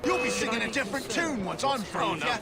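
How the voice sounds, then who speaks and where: A man's voice: extremely high pitch, very animated, fast talker, exaggerated voice. An actor voicing a snarling, threatening male street thug in a video game. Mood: enraged. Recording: loud noisy outdoor fight background.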